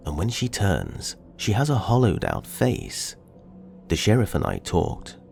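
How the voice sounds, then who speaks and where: A very low, gravelly male narrator whose pitch swings widely as he reads a first-person horror story. Soft music plays underneath his voice.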